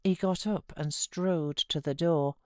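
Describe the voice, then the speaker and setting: A woman with a British accent giving measured, expressive literary narration as an audiobook reader. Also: low voice, no room sound, studio quality.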